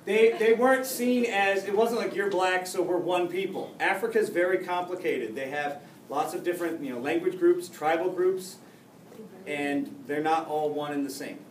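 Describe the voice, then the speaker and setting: A male high school history teacher gives a chatty lecture, cracking jokes and restarting his sentences. His voice is a touch high. It was picked up from far across an echoey classroom, with a faint hum behind it. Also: normal speed. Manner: joking, chatty, with many restarts. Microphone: camera far across the room.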